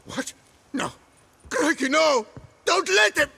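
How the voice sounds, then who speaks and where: A man's voice: extremely high pitch, sing-song, slow pace, creaky, sharp tone, heavily acted. An actor playing a frightened captured bandit in a video game, crude and stammering as he protests. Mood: panicked pleading. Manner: panicked stammering, crude taunting. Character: terrified captured bandit, defiant then cowering and pleading. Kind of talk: dramatic video game acting.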